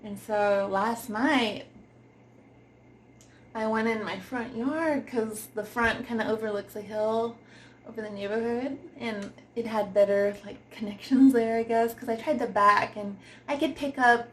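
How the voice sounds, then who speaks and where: A woman giving an informal, unscripted product review, giggly and often pausing to search for words. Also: fairly high pitch, steady tone, slight background noise.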